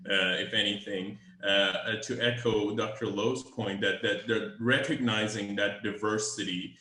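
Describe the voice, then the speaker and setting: A man's voice: lowish voice, steady tone, a little slow, pushed and bright, roomy sound. A man whose first language is Farsi, speaking hesitantly on a video call panel with many fillers and restarts.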